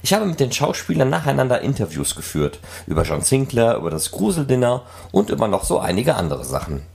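A man's voice: medium pitch, very animated, fairly quick, gravelly, darkish tone, husky. A man presenting and reporting as the host of a fan podcast. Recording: noisy, ambient background sound.

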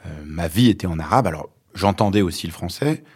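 Deep-voiced reflective man in his forties. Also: lively intonation, a little fast, slightly rough. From Lebanon. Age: 40s. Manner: reflective, many hesitations. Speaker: author and journalist guest. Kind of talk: interview, personal storytelling.

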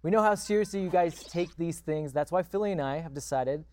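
A woman's voice: fairly low pitch, a little fast, darkish tone. A woman hosting a casual sports panel chat in a playful, teasing manner. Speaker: sports show host.

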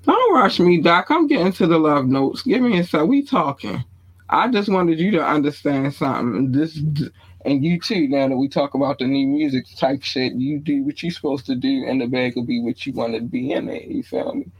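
A man's voice: a little high, animated, moderate pace, husky, poor audio. A man, co-hosting a casual hip-hop podcast, talking bluntly with slang and a frequent "you feel me.". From born in North Carolina, raised in Baltimore. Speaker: podcast co-host. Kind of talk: casual chat.

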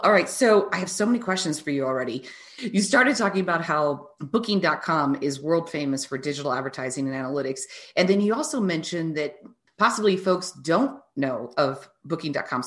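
Casting: woman host with a notably low voice, warm enthusiasm, conversational delivery. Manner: enthusiastic, effusive. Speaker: interview host. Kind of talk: conversational interview.

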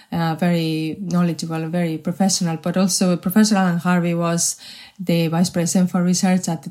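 Woman, forties, Spanish accent, low voice, flat intonation, hesitant guest. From Spain. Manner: measured, hesitant, many restarts. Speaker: guest, health research manager. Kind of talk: podcast interview.

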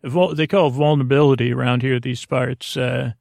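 A man hosting a bedtime podcast with a creaky voice, rambling in a hesitant, mumbling, self-deprecating way with frequent tangents. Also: mid-range voice, fairly even intonation, fairly quick, a little mellow, dry recording. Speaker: host of a bedtime sleep podcast. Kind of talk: rambling, meandering bedtime monologue.

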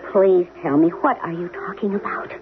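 A woman playing a wry, wounded wife and former chorus girl in a 1970s radio drama, speaking in a colloquial, expressive way. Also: fairly low pitch, moderate pace, close to an everyday voice. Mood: puzzled, uneasy. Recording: phone-like 1970s radio recording, music underneath.

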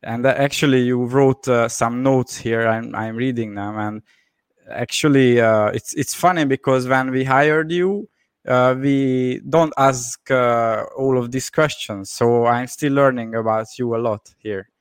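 A man hosts a casual interview in a smooth, clear voice at a middle pitch. He speaks hesitantly with plenty of "yeah" and "um", recorded close and dry. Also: unhurried, no echo. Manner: relaxed, hesitant, frequent "yeah" and "um". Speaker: host, interviewer.

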